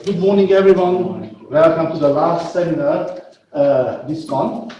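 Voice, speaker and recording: A man's voice: fairly high pitch, unhurried, dark tone, lo-fi sound. A man hosting a seminar, giving a brief, hesitant, formal introduction of the speaker. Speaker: seminar host. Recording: echoey room, distant mic, faint noise.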